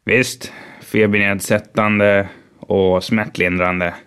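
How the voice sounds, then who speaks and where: A man voicing a low-voiced character in a textbook dialogue, calm and matter-of-fact. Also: slow pace, smooth.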